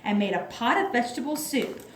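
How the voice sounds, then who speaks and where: Animated high-voiced woman telling a children's story. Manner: theatrical, drawn-out. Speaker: puppet show narrator. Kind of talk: scripted children's story narration. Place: echoey room at a library. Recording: echoey room with clear background noise.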